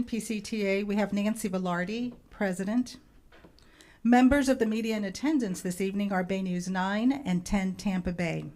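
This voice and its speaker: Measured woman making formal meeting introductions. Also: mid-range voice, a little mellow. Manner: polite, measured, ceremonial. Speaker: public information officer. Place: school board meeting room.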